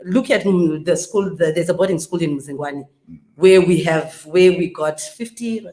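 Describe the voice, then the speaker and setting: A woman's voice: fairly low pitch, moderate pace, warm tone. Earnest woman in echoey room. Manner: earnest, with frequent restarts. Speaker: panel guest. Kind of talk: discussion panel.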